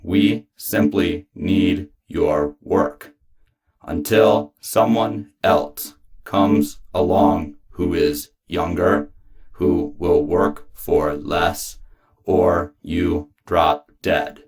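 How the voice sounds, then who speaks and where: A man reading poetry aloud in a flat, official manner, in clipped lists and commands. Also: sits low, very slow. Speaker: poetry reader. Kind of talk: deliberate poetry recitation.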